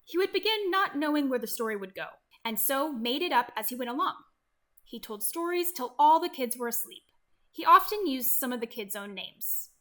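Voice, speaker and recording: A woman narrating a scripted read-along for children as an expressive storyteller. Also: sits high, normal speed.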